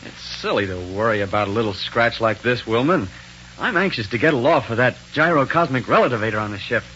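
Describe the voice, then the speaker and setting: An actor playing an eager, brash heroic space captain with an American accent in 1930s radio serial style. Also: male voice, medium pitch, animated, brisk, sharp tone. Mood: eager, impatient. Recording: muffled, noisy 1930s radio recording.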